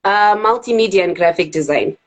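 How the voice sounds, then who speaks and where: A Zimbabwean woman in her thirties, a club DJ chatting casually as an interview guest, animated and quick to laugh. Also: mid-range voice.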